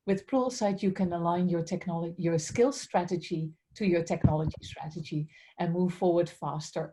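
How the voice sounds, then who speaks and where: A woman's voice: on the low side, noisy background. A woman with a Dutch accent presenting a business webinar politely, often saying how grateful she is, over a video call. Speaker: head of strategic accounts.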